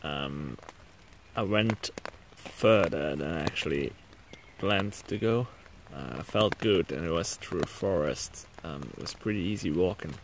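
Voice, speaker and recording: A young man with a Belgian accent recapping his hike in a casual, low-key, halting way, talking to a handheld camera inside a small tent. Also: expressive. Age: young adult. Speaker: hiker vlogger. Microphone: camera or phone at arm's length. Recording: clearly noisy small-camera recording.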